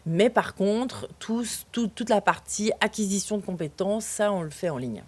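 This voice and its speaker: A woman being interviewed as a company founder, answering in a lively, casual way with many fillers and restarts. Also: medium pitch, moderate pace. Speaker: guest, founder of a digital training company.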